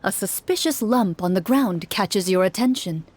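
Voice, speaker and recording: A woman narrating a fantasy quest in the second person with measured storytelling. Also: mid-range voice, lively intonation, a little mellow, slight background noise. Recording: with music behind.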